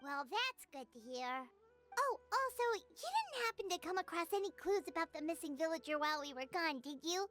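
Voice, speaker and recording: A woman voicing a tiny, chirpy fairy girl companion in a video game, squeaky and childlike, earnest and curious. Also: sing-song, brisk, a bit bright, full character voice. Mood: puzzled, insistent.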